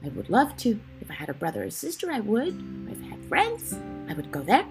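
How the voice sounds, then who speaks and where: A woman voicing a mild-mannered male whale shark, a timid gentle giant in a storybook tale, with a hesitant, folksy way of talking. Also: on the high side, huge pitch swings, a little fast, music in the background. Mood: timid, wistful.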